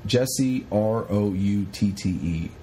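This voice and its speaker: A man co-hosting a podcast, chatting casually in an animated, rambling, sweary way as he retells stories. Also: lowish voice, slow pace, a little hiss or hum. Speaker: podcast co-host. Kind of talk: casual chat retelling a historical story.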